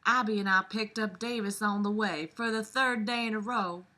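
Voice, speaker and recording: A woman's voice: mid-range voice, steady tone, moderate pace, sharp tone, natural voice. A woman voicing a Southern Belle with a Southern US accent, narrating a story in a theatrical manner. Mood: drawling, storytelling.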